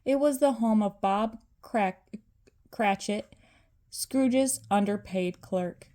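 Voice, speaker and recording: An older woman, a grandmother, reading a children's story aloud in a deliberate narrating style. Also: mid-range voice, dark tone.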